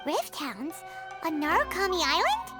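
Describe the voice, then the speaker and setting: A woman's voice: very high voice, huge pitch swings, a little slow, exaggerated voice. A woman voicing a small, perky, childlike fairy companion in a video game, chirpy and prone to exclaiming. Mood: curious. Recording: game music behind the voice.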